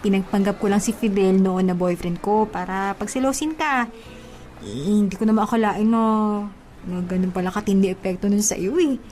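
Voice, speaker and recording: A woman playing a soft-hearted young housemaid in a radio drama, hesitant and tender. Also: medium pitch, moderate pace, faint music behind, natural voice.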